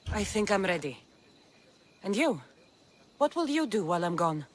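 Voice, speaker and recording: A woman's voice: medium pitch, very animated, moderate pace, slight background noise, lightly acted. An actress playing a blunt, determined Spartan mercenary woman in a video game cutscene. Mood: resolute, questioning.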